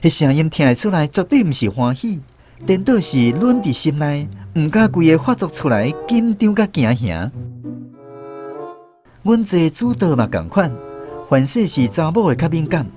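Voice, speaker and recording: Male radio drama narrator, Taiwanese accent: expressive, high-pitched, lively melody, background music. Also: normal speed.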